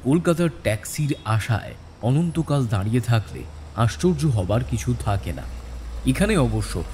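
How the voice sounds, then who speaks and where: Story narrator: man, mid-pitched, warm dark tone, animated scripted delivery, slight ambient noise behind. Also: normal speed.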